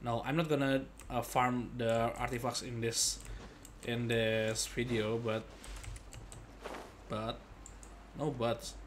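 A man's voice: medium pitch, level tone, takes it slowly, long pauses, slight background noise. A young man giving casual, jokey playthrough commentary, often hesitating and repeating himself. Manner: hesitant, repetitive, jokey asides. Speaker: game streamer. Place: indoor desk, game music behind.

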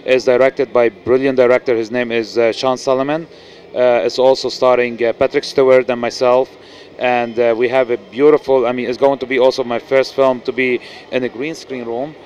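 Casting: middle-aged Lebanese-accented man, halting, sincere interview guest, mid pitch, faint room hum. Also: level tone, darkish tone. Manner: earnest, halting with many restarts and fillers. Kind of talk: casual interview. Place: noisy indoor event venue. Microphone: handheld mic.

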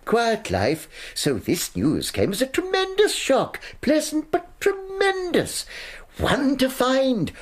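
An actor playing a fussy, forgetful elderly collector of fossils and insects in a radio drama, who talks in a flustered, dithering way. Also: male voice, extremely high pitch, huge pitch swings, slow pace, full character voice. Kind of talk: BBC radio drama acting.

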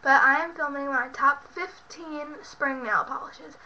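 A woman's voice: high voice, unhurried, pushed and bright, a little hiss or hum. A young woman who is sick and sounds congested, chatting casually and rambling with lots of fillers in a bedroom, recorded on a webcam. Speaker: beauty vlogger. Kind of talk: casual product show-and-tell. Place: bedroom at home. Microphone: built-in webcam mic. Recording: muffled lo-fi webcam audio.

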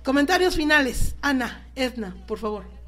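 A woman's voice: high-pitched, animated, normal speed, a bit bright. A woman with a Mexican accent hosting a live radio talk show, addressing guests and listeners.

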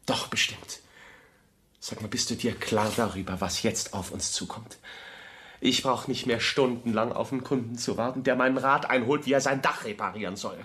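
An actor playing an eager, devoted young architect in a radio play, speaking earnestly and insistently and repeating himself. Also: male voice, mid-range voice, normal speed, fairly bright tone, natural voice. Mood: excited, hopeful. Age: young adult. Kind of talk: German radio play acting.

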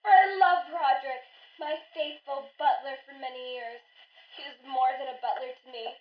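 Woman doing a lovestruck young heiress, really high girlish voice, tearful and dramatic, echoey old radio play. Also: steady tone, moderate pace, natural voice. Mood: confessional, ardent. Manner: tearful, melodramatic. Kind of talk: old-time radio melodrama. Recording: dull, echoey, distant radio-play sound.

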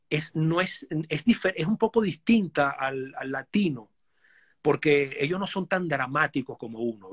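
A man's voice: a little high, a bit bright, husky. A Venezuelan man in his forties, talking casually with many fillers and restarts as a guest on a live video call from his living room. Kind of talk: casual live-stream interview.

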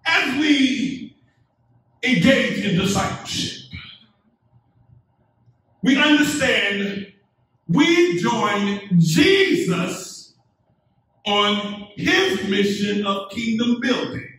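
A middle-aged man preaching a sermon in unhurried, deliberate phrases, his voice sitting unusually high for a man. He builds to emphatic peaks that invite call-and-response. The sound is heavily echoey, as if heard from the back of a church sanctuary. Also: expressive, a bit bright, recorded from a distance. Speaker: pastor. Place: church sanctuary, indoors, medium-sized.

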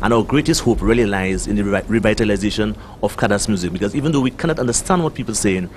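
Quick, low-voiced Dominican man, fluent teacher type, handheld mic outdoors. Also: expressive, darkish tone, a little hiss or hum. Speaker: English teacher. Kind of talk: panel discussion. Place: outdoor balcony at night.

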